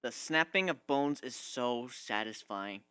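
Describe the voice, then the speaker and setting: Animated male story narrator with bright voice. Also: medium pitch, measured pace, smooth, no echo. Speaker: amateur narrator. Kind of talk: dramatic first-person reading of fan fiction.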